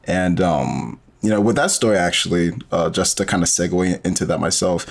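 Man, low voice, gravelly, casual rambling guest, lots of fillers. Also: moderate pace. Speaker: podcast guest, audio drama creator. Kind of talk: casual interview conversation.

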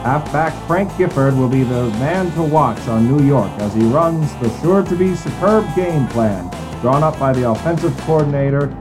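An actor playing a brisk, dramatic 1950s football announcer, calling play-by-play in a declamatory old-time style. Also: male voice, on the high side, over music, close to an everyday voice. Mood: announcing. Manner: declamatory, building excitement on plays. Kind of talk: old-time sports commentary.

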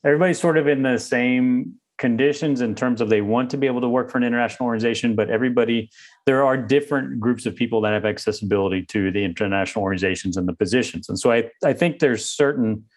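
A man hosting a career podcast interview, affirming his guest and then musing at length. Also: medium pitch. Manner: rambling, quick affirmations. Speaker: podcast host.

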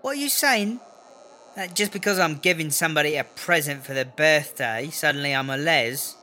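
A mouthy British female inmate for a comedy audio drama. Her voice is bright and forceful, and she rambles in slang with defensive, foul-mouthed bluster, like a would-be gangster. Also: sits low, normal speed. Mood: indignant.

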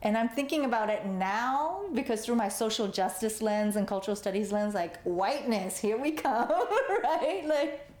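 A woman's voice: on the high side, moderate pace, few pauses, a little mellow, reverberant. A woman in her forties, born in Vietnam, telling her life story in a conversational interview as an artist guest, tagging her sentences with "you know" and "right?". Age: 40s.

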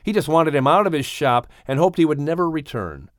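A man narrating an adventure story for children with expressive, theatrical storytelling delivery. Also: a little high, fairly quick, no room sound, studio quality. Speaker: audiobook narrator. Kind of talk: dramatic scripted storytelling.

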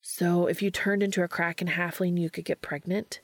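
A woman's voice: lowish voice, flat delivery, normal speed, dry recording. A woman voicing a squeamish, dumbfounded man with an incredulous manner in a story reading. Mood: suspicious.

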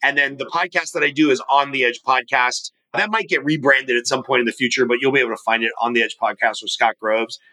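Fast-talking energetic man, bright voice. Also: mid-range voice, rough recording. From California. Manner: fast, energetic, rambling with restarts. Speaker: podcast guest, mortgage professional and coach. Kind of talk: casual interview talk.

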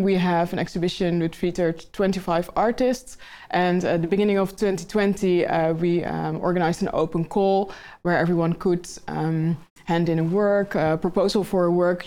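Woman curator, lowish mellow voice, steady explanatory interview answers. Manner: explanatory, some fillers. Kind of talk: interview conversation.

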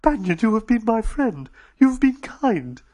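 A man voicing a frightened male alien prisoner who pleads and begs in a strained, high, thin voice. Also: big pitch swings, moderate pace, dark tone. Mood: pleading. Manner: desperate, begging.

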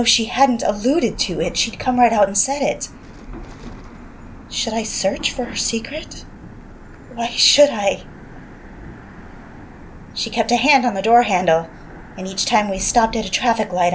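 A woman's voice: mid-range voice, slight background noise, lightly acted, low-quality recording. A woman playing a self-reproaching young woman who narrates her story in a reflective, confessional, dramatic way. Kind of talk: scripted first-person drama narration.

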